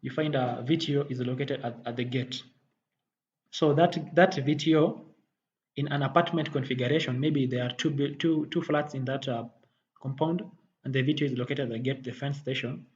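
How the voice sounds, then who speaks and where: A man giving a software tutorial as a technical instructor, halting and often rephrasing as he explains. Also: mid-range voice, steady tone. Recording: dull muffled audio, faint background noise.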